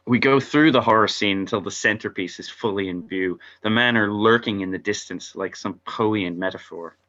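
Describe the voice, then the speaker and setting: A man's voice: lowish voice, normal speed, pushed and bright. A man narrating stage directions from a script at an informal table read, heard over lo-fi video call audio.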